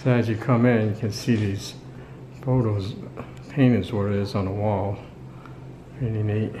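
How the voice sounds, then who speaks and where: A man's voice: lowish voice, fairly even intonation, noisy background. Older man narrating a restaurant walkthrough. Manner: plain, unhurried commentary while walking. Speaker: travel vlogger. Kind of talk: casual walking tour narration. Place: restaurant indoors and patio.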